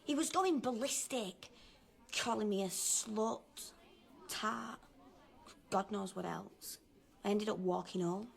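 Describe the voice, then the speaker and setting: A woman's voice: medium pitch, takes it slowly, gravelly, long pauses. An actress playing an outspoken, sceptical teenage girl in a naturalistic radio drama, colloquial and forthright. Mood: indignant.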